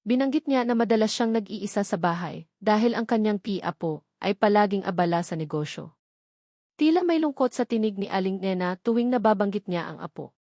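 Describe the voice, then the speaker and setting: A woman's voice: mid-range voice, expressive, fairly quick, darkish tone, no room sound, studio quality. A woman reading scripted narration of a romance story as its narrator.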